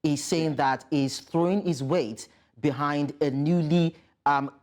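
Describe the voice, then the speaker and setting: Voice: mid-range voice, fairly even intonation, takes it slowly, darkish tone. A news anchor presenting reports and putting formal questions to a guest in a live interview.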